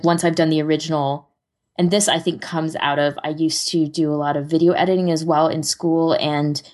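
A woman with a notably low-pitched voice talks casually as a podcast co-host. She explains things step by step in an informal, conversational way, drifting into loose asides. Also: gravelly. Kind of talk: casual chat.

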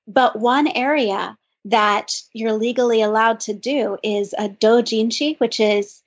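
A woman's voice: a little high, unhurried, breathy edge. A woman co-hosting a podcast, chatting casually and thinking aloud with lots of "like". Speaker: podcast co-host. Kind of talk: casual discussion. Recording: dull lo-fi sound.

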